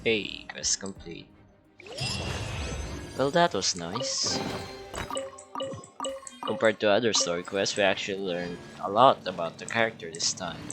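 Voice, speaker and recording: Deep-voiced man chatting over gameplay. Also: measured pace, pauses often. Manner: offhand, joking asides. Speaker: gamer. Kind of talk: casual gameplay commentary. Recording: loud game music behind the voice.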